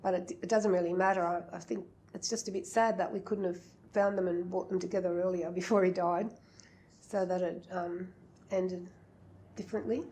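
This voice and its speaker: An older woman speaking reflectively and haltingly in a documentary interview. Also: lowish voice, steady tone, dark tone.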